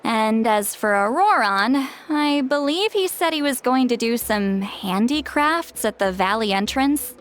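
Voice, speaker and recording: A woman's voice: a little high, animated, measured pace, few pauses. A woman voicing a proud, grumpy, youthful-sounding video game character who grumbles in a huffy, dramatic way. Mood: resigned.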